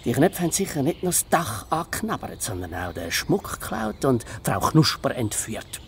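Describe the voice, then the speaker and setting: A man with a Swiss German accent playing a bossy, cocky fox inspector, gruff and dismissive, in a children's detective radio play. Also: mid-range voice, very animated, moderate pace, slight background noise. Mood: scolding. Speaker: actor in children's audio drama.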